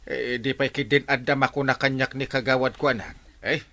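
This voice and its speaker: A man's voice: medium pitch, steady tone, moderate pace, sharp tone. A man with an Ilocano accent playing a village captain in a radio comedy drama, responding to complaints in a questioning manner. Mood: concerned.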